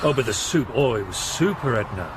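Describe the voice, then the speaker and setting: Man playing dim amiable bumbler, hesitant, lively swooping intonation, radio comedy, noisy ambient background. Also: medium pitch, a little fast, close to an everyday voice. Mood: dreamy, pleased. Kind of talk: radio comedy drama dialogue.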